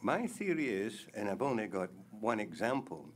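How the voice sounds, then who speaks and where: An older man with a Scottish accent explaining things deliberately in a casual chat, the host's father. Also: fairly low pitch, sing-song. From Scotland.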